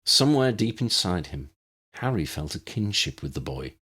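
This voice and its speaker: A man narrates a fantasy audiobook in a low, husky voice. His pitch moves freely as he reads, giving the scripted prose an animated shape. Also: normal speed.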